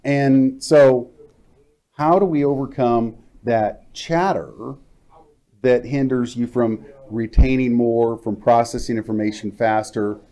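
A man in his fifties hosting a casual studio interview, talking informally with halting phrasing. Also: mid-range voice, mellow. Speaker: interviewer, co-presenter. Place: TV-style studio at a glass desk. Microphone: lapel mic.

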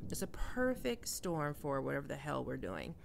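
A woman's voice: low-pitched, wildly swooping pitch, moderate pace, vocal fry, noisy background, exaggerated voice. A woman playing a defensive young half-vampire catgirl roommate in an ASMR roleplay, teasing and stammering with hesitant tsundere bluster. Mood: softly sincere, awkward.

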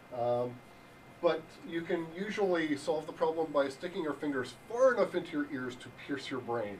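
A young man giving an improvised mock lecture in a deadpan, halting way, full of ums, in a hotel function room. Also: fairly high pitch. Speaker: improv presenter. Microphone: far room camera. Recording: distant voice with room hiss.

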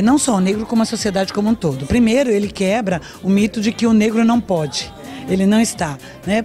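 An older woman sociologist answering interview questions in a measured, lecturing way, into a handheld reporter mic in a large meeting hall. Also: mid-range voice, mellow. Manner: measured, lecturing, frequent "né?" tags.

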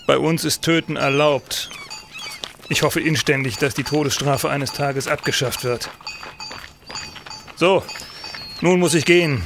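An earnest police official in a radio play. His voice sits fairly high, formal and reflective, with clear ambience behind it. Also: fairly bright tone, slight background noise. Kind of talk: audio drama acting.

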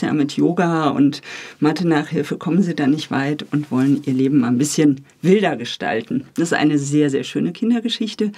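Woman, interview guest, husky voice, casual conversational delivery, studio microphone. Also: on the low side, mellow. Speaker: interview guest, radio editor. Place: recording studio.

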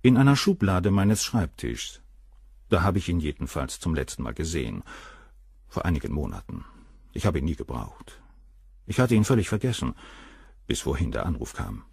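Deep, condescending professor with lively intonation. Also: male voice, moderate pace, gravelly, pauses often, husky, no room sound, clean studio sound, exaggerated voice. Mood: composed, matter-of-fact. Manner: composed, condescending, articulate. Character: proud, coldly condescending married male professor and surgeon. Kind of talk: German radio crime drama acting.

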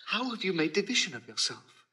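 A man playing an astonished, devoted sea captain in classical Shakespearean style, with a husky voice. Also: high-pitched, very animated, brisk, pushed and bright, full character voice. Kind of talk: classical Shakespearean stage acting.

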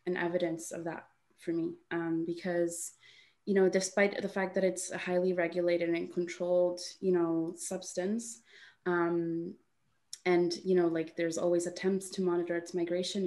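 Woman, young, from Honduras, low pitch, monotone, halting with fillers, video call. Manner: halting, many fillers and restarts. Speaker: guest artist. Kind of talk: casual webinar talk.

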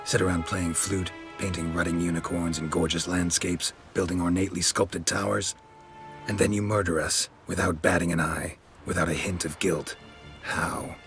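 A very deep-voiced, gravelly monster hunter, stoic and sardonic. He speaks in clipped, deadpan game dialogue over clear background music. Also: normal speed. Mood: sardonic, challenging. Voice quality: low, gravelly.

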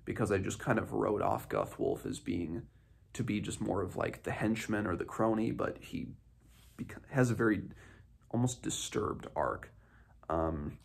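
A man in his twenties with a low, husky voice that often drops into fry. He muses his way through a casual book discussion, stopping and starting as he thinks aloud. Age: 20s. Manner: musing, halting, thinking aloud. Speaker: book reviewer, host of a read-along. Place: small home room, indoors.

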